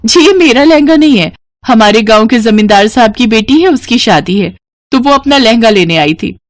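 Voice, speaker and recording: A woman storyteller gives voice to a poor, modest young village woman in a moral tale. She speaks in a raised pitch, polite and meek, with some hesitancy. The recording is close and dry. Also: lively intonation, normal speed, no room sound, natural voice.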